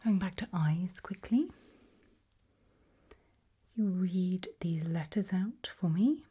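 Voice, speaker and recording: A woman whispering a medical exam roleplay in ASMR style, breathy and hushed, slow, deliberate and gently coaxing. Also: on the low side. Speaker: ASMR performer playing an examiner.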